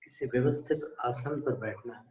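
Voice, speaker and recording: A man with an Indian accent leading a guided meditation, speaking calmly and deliberately with pauses. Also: mid-range voice. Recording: echoey room, dull muffled sound.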